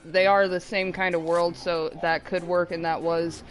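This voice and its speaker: A woman's voice: lowish voice, flat delivery, normal speed, over a noisy crowd. A woman hosting a gaming stream in a casual chatty style, moderating and reading out viewer comments. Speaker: gaming show host. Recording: music behind the voice.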